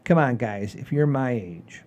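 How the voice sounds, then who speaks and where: A middle-aged or older man hosting a comedy show, telling stories casually in a rambling, animated way with salty language. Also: medium pitch, a little slow. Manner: rambling, animated storyteller with frequent swearing. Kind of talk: casual chat, storytelling.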